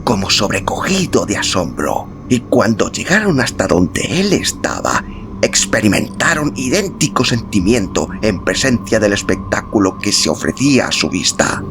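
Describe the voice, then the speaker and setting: Deep, gravelly male storyteller. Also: big pitch swings, normal speed, noisy background. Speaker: audiobook narrator. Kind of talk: dramatic scripted narration of a novel. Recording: music bed under narration.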